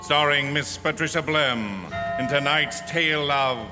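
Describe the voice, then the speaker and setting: An actor playing a formal, deliberate announcer closing a 1940s-style radio suspense show. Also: male voice, fairly high pitch, natural voice. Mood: solemn. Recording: reverberant theatre with loud music.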